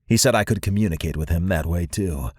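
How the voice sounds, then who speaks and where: A man's voice: low-pitched, a little fast, no echo, studio quality. A man playing a confident vampire master in an audiobook, speaking in a casual, familiar and wry way. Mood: casual, curious.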